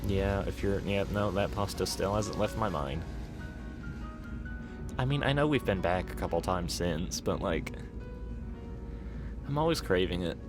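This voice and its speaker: A young man playing a playful, chatty boyfriend on a night drive in a soft-spoken, rambling, gently teasing ASMR roleplay. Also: low-pitched, unhurried, pauses often. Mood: fond, casual. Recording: music under the voice.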